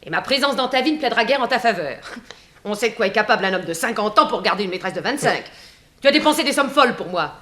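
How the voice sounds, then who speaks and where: A woman's voice: fairly high pitch, expressive, fast talker, a bit bright. An actress playing a mocking, composed young mistress blackmailing her older lover in a 1960s radio drama. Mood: threatening, defiant. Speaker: actor.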